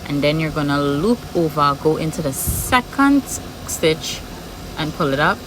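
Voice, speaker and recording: A woman with a Trinidadian accent and a low, deep voice guides a crochet project one stitch at a time, repeating each step as she works. Steady background noise, like an air conditioner, hums under her voice throughout. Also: a little slow. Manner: patient, repeats steps while working. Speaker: crochet tutorial host. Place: home room with background noise.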